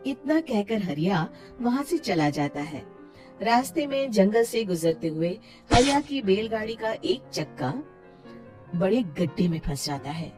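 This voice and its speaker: A woman narrating a scripted cartoon tale as an expressive storyteller. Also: mid-range voice, measured pace, faint music behind.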